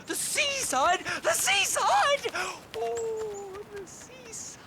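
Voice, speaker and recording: A performer playing a small, skittish, excitable male blue bird who jitters and repeats himself. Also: very high-pitched, extremely slow, a loud crowd, exaggerated voice.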